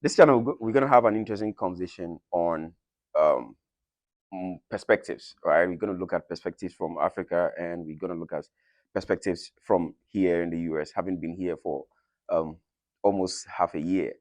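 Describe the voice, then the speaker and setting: Deep-voiced young man hosting an interview. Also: normal speed, darkish tone, dry recording. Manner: hesitant, many ums and restarts. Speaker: host. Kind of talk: casual interview.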